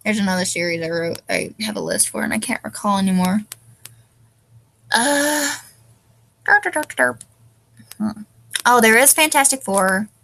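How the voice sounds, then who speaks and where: A tired young woman, her nose stuffed up, chats casually at a mid-range pitch. She rambles, pauses often on "um", and loses her place mid-thought. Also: big pitch swings, pushed and bright, a little hiss or hum. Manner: hesitant, many ums, loses her train of thought. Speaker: fanfiction reviewer.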